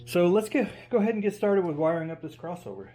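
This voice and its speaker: A man's voice: high voice, fast. A man talking casually and thinking aloud as he hosts a hands-on DIY workshop demonstration. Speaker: DIY audio channel host. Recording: steady background noise and hum.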